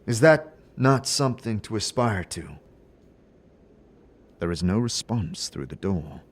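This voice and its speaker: A man performing scripted narration and dialogue in an audio drama, in a measured, deliberate manner. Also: lowish voice, expressive.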